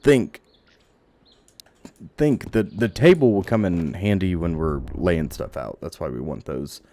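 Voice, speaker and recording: A man giving casual gameplay commentary, thinking aloud in a halting, rambling way and swearing now and then. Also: deep voice, mellow. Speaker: game streamer. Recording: audible background noise, clicks.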